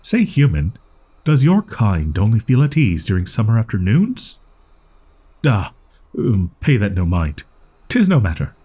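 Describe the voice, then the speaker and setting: A man's voice: on the low side, huge pitch swings, unhurried, mellow, breathy edge, heavily acted. A man voicing a proud, easily flustered young wolf-boy in an audio roleplay, speaking in haughty, archaic, formal phrasing. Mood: puzzled curiosity.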